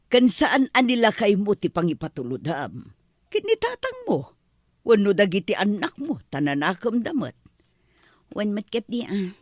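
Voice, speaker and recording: A woman's voice: fairly low pitch, dry recording, studio quality. A woman acting exasperated, complaining women of a struggling family in a comedy drama, with an Ilocano accent.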